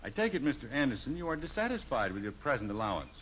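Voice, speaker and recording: A man's voice: medium pitch, big pitch swings, fast, fairly bright tone. Blustery, exasperated American sitcom father. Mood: wry. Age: middle-aged. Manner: blustery, dryly sarcastic. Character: exasperated middle-aged father, short-tempered yet wry. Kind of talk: 1950s radio sitcom acting. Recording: dull, noisy old radio recording.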